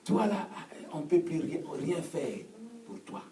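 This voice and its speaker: A man preaching with call and response in a church hall, fervent and repetitive, often shouting. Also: on the high side, animated, unhurried, over a noisy crowd. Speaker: pastor. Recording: echoey hall, distant mic, congregation noise. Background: congregation answering.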